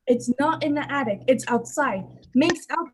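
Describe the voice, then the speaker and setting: A woman's voice: high-pitched, expressive, moderate pace, slight background noise. A woman playing an alarmed, impatient father in a radio play, urgent and demanding in manner. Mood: frightened, exasperated. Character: Mr. Morris, alarmed and impatient father of a young girl.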